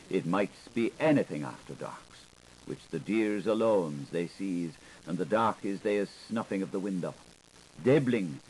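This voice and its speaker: A man with a low voice narrates a literary audiobook over audible tape hiss. His pitch swings widely as he shifts emphasis, giving the reading a playful, theatrical feel. It sounds like an audiobook taped in the 1980s. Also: a little mellow, rough recording.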